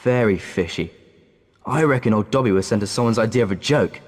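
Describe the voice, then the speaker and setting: A man's voice: lowish voice, brisk, in a large hall, lightly acted. A man with a British accent playing a shrewd, suspicious teenage older brother in a video game.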